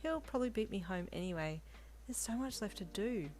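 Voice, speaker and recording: A woman voicing a kind, reassuring young girlfriend in an audio story, polite, gentle and lightly puzzled in manner. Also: mid-range voice, lively intonation, fairly quick, faint music behind, lightly acted.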